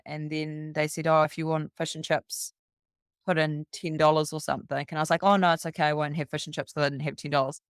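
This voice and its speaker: Smooth-voiced New Zealand woman storytelling. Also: deep voice, no echo, clean studio sound. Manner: brisk, rambling anecdote full of quoted dialogue. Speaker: podcast guest. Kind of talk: casual storytelling.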